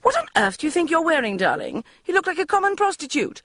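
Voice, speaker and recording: A woman voicing a gushing, bossy middle-aged mother, who trills and rushes her words. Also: extremely high pitch, very animated, a bit bright, no echo, clean studio sound, pitched above the performer's own voice, heavily acted. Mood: trilling bossy.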